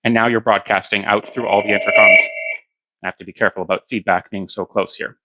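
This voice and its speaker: A man's voice: fairly low pitch, steady tone, fast, muffled recording, background noise. A man giving a hands-on product demonstration, explaining plainly step by step.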